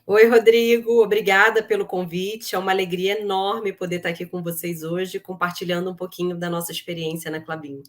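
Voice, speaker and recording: A woman's voice: lowish voice, little pitch movement, a little fast, few pauses. A middle-aged woman with a Brazilian accent, speaking as an interview guest and explaining in a conversational way. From Brazil, grew up in Rio de Janeiro. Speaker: interview guest, human resources executive.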